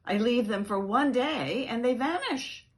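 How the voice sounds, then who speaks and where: A woman's voice: on the high side, expressive, a little slow, pitched above the performer's own voice. A woman voicing an earnest, tender male family dog in a children's storybook read-aloud. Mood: distressed.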